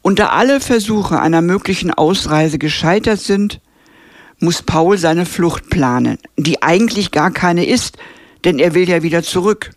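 A woman narrating a scripted radio film review in a measured, even way. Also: fairly low pitch, no room sound, studio quality.

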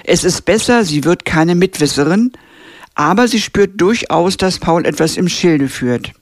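A woman narrating a scripted radio film review in a measured, even way. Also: lowish voice, no echo, studio quality.

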